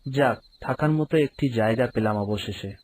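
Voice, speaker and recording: A man's voice: mid-range voice, fairly quick, a little mellow, slight background noise, close to an everyday voice, low-quality recording. A young man voicing a curious, uneasy villager returning home in a horror cartoon, musing aloud as he tells his own story. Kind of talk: cartoon horror narration and dialogue.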